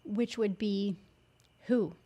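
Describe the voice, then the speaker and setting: A woman's voice: mid-range voice, extremely slow, warm tone. A woman acting in an audio drama as a young villainous sorceress, defiant and scornful, with a theatrical, threatening manner. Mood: sullen, skeptical.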